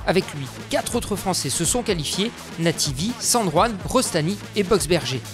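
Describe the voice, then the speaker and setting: A man narrating a motorsport documentary in a steady, scripted voice-over. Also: sits high, moderate pace. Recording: voice-over with music underneath.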